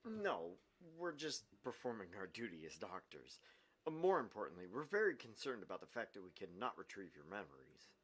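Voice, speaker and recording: A man's voice: on the low side, very animated, fairly quick, a bit bright, breathy edge, heavily acted. A man voicing a courteous, concerned doctor in a visual novel, reading formally and politely.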